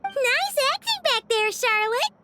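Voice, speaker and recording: A woman voicing a small, cheerful, curious fairy companion with a squeaky childlike voice in a video game. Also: sing-song, slow pace, bright, forceful tone, soft background music, heavily acted. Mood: cheerful, impressed.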